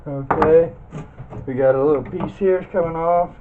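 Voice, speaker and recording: A man's voice: on the high side, moderate pace. Phone-like, noisy recording of folksy man. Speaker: scrap metal hobbyist demonstrating teardown. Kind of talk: casual hands-on tutorial narration. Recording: muffled phone-like audio, loud background noise.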